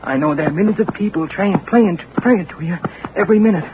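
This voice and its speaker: A man plays a desperate, helpless man trapped inside his own body, pleading and urgent in an old radio drama. His voice sits fairly high for a man and climbs as he strains. The recording is muffled and hissy. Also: brisk, natural voice. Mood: praying. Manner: pleading, urgent, talks to himself. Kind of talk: 1940s radio acting, inner monologue.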